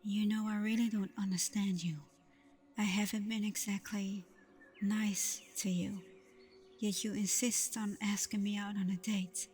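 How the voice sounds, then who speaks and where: Slow, hushed vampire girlfriend played by a woman. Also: medium pitch, flat delivery, lightly acted. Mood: reluctant, softening. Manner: hushed, often slipping into whispers, breathy sighs. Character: protective, scolding vampire girlfriend, guarded but tender. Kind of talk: ASMR roleplay, intimate acted monologue.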